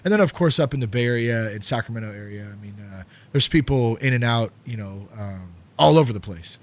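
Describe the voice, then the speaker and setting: A man's voice: mid-range voice, expressive, fairly quick. A man in his thirties hosting a podcast, talking loosely and casually with lots of fillers and swearing. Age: 30s. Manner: rambling, loose, swears freely, many fillers. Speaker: podcast host.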